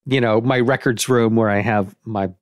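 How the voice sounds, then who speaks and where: A man's voice: mid-range voice, fairly even intonation, normal speed, no echo, lo-fi sound. A man talking casually as a podcast co-host, animatedly thinking aloud through ideas in a brainstorming discussion.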